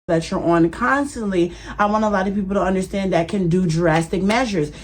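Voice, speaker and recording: A woman's voice: medium pitch, brisk. Emphatic woman lecturing her viewers. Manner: emphatic, peppered with "okay, right?". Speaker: video creator in a played clip. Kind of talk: direct-to-camera opinion vlog.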